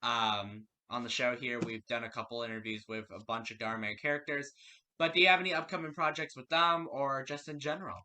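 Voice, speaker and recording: A man's voice: mid-range voice, steady tone, fairly quick, bright, forceful tone. A man hosting an interview show, politely and formally putting prepared questions to a guest. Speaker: radio show host and interviewer.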